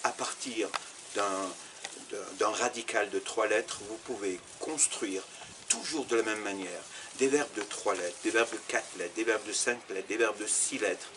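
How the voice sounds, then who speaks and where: A man's voice: fairly low pitch, a little slow, background noise. A man from Algeria, an Arabic teacher, answering interview questions in a thoughtful, explanatory way, with fillers and restarts.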